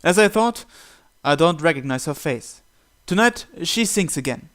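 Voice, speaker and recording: A man's voice: on the high side, animated, a little slow, a bit bright. A man hosting a game playthrough, reading the story aloud expressively and adding casual commentary. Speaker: gamer hosting a visual novel playthrough.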